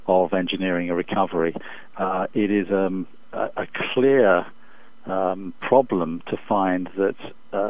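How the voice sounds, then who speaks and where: A man speaking as an expert economist in an interview over the phone, giving measured, formal, halting analytical answers. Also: low voice, dry recording. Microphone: telephone. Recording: dull, muffled phone interview line.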